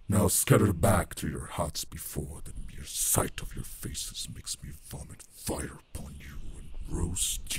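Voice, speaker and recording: A man voicing a wrathful, possessive ancient dragon in an ASMR roleplay drama, making booming threats. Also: noisy background.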